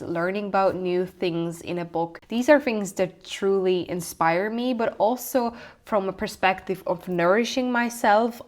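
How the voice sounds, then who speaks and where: A young woman from Switzerland with a Swiss German accent, a lifestyle vlogger calmly introducing herself in gentle, reflective speech with slightly non-native phrasing. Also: mid-range voice. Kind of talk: scripted personal introduction.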